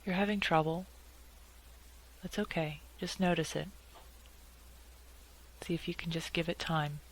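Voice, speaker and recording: Very slow-paced woman guiding meditation. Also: on the low side, a little hiss or hum, poor audio. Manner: calm, measured delivery with long pauses. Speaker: meditation guide. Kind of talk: guided meditation.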